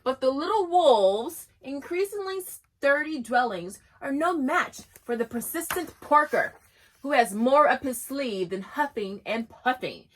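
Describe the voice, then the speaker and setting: A woman's voice: high voice, expressive, measured pace, a bit bright, low-quality recording. A woman giving a chatty, enthusiastic review of children's books and reading short excerpts aloud. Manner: chatty and enthusiastic, with frequent restarts and laughs. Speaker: homeschool book reviewer. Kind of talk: casual book review with read-aloud excerpts.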